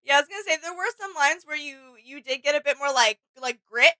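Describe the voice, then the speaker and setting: A woman's voice: sits high, lively intonation, fast talker, sharp tone. A woman co-hosting a reaction video, talking in a casual, conversational way.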